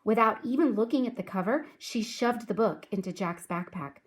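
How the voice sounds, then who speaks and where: A woman reading aloud to children like a teacher, speaking clearly and deliberately in a storybook narration style. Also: mid-range voice. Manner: clear, deliberate, addresses young listeners.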